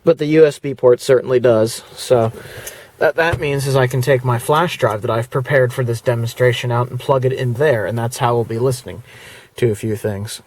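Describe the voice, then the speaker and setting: Man, casual vlogger, rambling with fillers, medium pitch. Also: steady tone, moderate pace, a little mellow. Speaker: car stereo reviewer. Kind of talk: casual product walkthrough vlog. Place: inside a parked car; indoors before going to the car.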